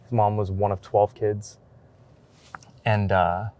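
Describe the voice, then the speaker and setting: A man chatting casually and informally as a documentary photographer on the road, in a loose, halting way. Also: on the low side, little pitch movement, warm tone. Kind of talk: casual vlog chat while out shooting.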